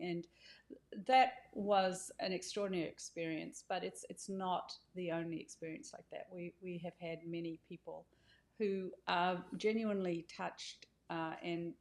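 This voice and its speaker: Female author-host, slightly low voice, informal and conversational, feeling her way through sentences. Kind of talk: informal welcome talk to camera.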